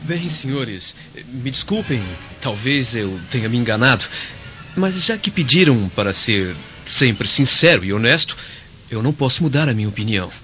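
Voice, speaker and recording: Um ator de radionovela interpretando um escravo servil e ardiloso, que fala pedindo desculpas, bajulador e insinuante. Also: male voice, mid-range voice, sharp tone. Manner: obsequious, apologetic, insinuating. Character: sly, scheming servile slave feigning humble honesty. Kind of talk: radio drama acting. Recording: dull lo-fi, faint noise.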